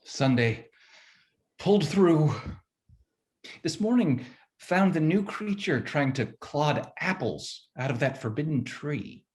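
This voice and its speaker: Adam, grouchy first man, wants solitude, lively up-and-down complaining, stage comedy. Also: on the high side, takes it slowly. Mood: grumpy. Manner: peevish, complaining diary entries. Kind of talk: stage reading of a comic play. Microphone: video call.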